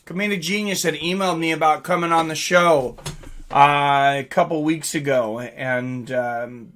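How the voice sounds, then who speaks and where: A man hosting a live fight watch-along stream, commenting casually and talking directly to viewers in chat, often clearing his throat. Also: a little high, measured pace, a bit bright, slight background noise.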